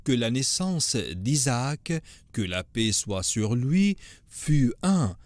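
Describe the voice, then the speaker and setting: A male narrator with a medium-pitched voice tells a religious tale. His delivery is slow, solemn and measured, as scripted storytelling. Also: big pitch swings, dry recording.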